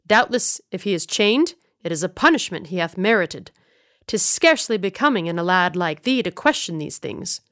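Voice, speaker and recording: A woman's voice: medium pitch, animated, moderate pace, fairly bright tone, lightly acted. A woman voicing a stern, haughty male abbot who speaks severely in old-fashioned words.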